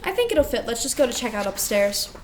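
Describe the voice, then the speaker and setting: A woman's voice: fairly high pitch, very animated, a little fast, a little hiss or hum. A woman playing a teasing, generous teenage big sister in a staged reading of a play. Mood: affectionate, playful.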